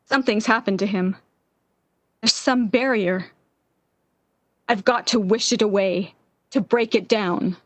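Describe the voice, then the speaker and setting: An actress playing an anxious, lovesick young woman in 1940s-style radio theater, pleading and halting in a melodramatic way. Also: mid-range voice, no room sound, close to an everyday voice. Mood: brooding, insistent. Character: Anne, lovesick, anxious, desperate young woman clinging to love.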